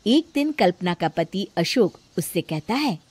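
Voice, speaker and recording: A woman's voice: fairly low pitch, big pitch swings, measured pace, a little mellow, husky, no echo. A woman narrating a scripted cartoon story in a plain storytelling manner.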